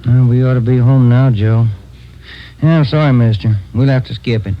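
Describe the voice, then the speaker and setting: A man's voice: mid-range voice, moderate pace, natural voice. An American man playing an easygoing, polite police detective partner in an old radio drama, with a mild, folksy manner. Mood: casual. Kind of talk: 1940s-50s radio drama acting. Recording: dull old-time radio recording.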